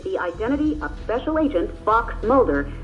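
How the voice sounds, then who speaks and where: Female newsreader, animated melody, dull broadcast filter, faint music bed. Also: on the high side, fairly quick. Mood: urgent. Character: animated female television news anchor. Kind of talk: news report in audio drama.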